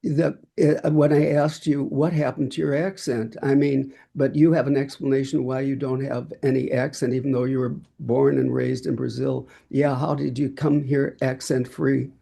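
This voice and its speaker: A male host who asks his questions haltingly. His voice is gravelly and flat, with a dark, mellow tone. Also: mid-range voice. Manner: halting, hesitant.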